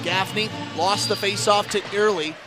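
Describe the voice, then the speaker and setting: A man giving live ice hockey radio commentary as play-by-play announcer, excitable and ready to raise his voice on chances. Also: high-pitched, normal speed, loud music behind the voice.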